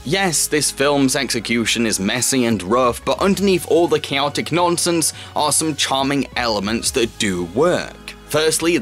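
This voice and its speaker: A male reviewer delivers scripted film commentary as the host of a show. His voice sits at a middle pitch, with music playing softly underneath.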